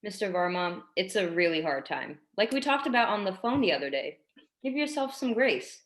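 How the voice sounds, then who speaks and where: A woman playing a tactful, apologetic primary schoolteacher in a staged drama reading, hesitant and gently persistent. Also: mid-range voice, very animated, moderate pace, natural voice. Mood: reassuring. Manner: hesitant, careful, conciliatory.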